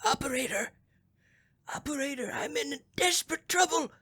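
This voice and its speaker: A woman's voice: high-pitched, normal speed, pushed and bright. A woman playing a crotchety, nervous invalid man in a radio drama, stammering and repeating words in agitation. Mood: terrified, desperate.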